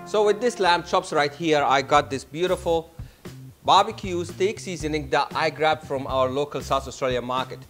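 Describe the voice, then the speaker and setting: A man's voice: fairly high pitch, normal speed, over music. A man in his thirties hosting a cooking show in a studio kitchen, upbeat and playful as he talks straight to the camera on a lapel mic. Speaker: chef host. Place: home-style studio kitchen, indoors.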